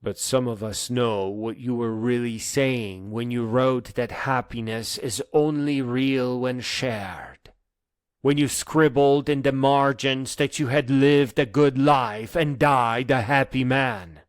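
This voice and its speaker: A man delivering a dramatic spoken-word monologue in an earnest, emphatic manner that moves between hushed and insistent phrases. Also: medium pitch, takes it slowly, clean tone, dry recording, clean studio sound.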